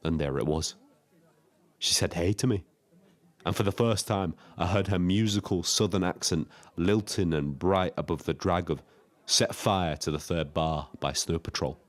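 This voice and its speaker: An actor playing a lonely, reflective taxi driver in an audio drama, telling his story in a measured, literary way. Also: sits low, lightly acted. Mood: wry, wistful. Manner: wordy, literary, measured first-person storytelling. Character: Frank, lonely reflective male Brighton taxi driver and diarist. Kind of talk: scripted dramatic diary narration.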